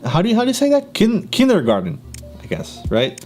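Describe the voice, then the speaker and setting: A man's voice: sits high, huge pitch swings, fairly quick, a little mellow, soft background music. A young man from Brazil with a Brazilian Portuguese accent, talking casually to camera, laughing often and filling gaps with ums. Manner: chatty, laughs often, many fillers and restarts. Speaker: YouTuber.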